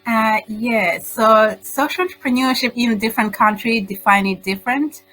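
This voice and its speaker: A middle-aged woman with a Tanzanian accent giving informal, halting answers as an interview guest. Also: fairly high pitch, sharp tone, soft background music, rough recording. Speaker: guest, social entrepreneur.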